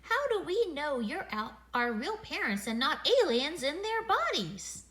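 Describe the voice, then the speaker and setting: Woman voicing D.W., a high, cheeky kid sister. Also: sing-song, measured pace, fairly bright tone, heavily acted. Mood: curious. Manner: insistent, cheeky. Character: bossy, scheming little girl, animal kid sister.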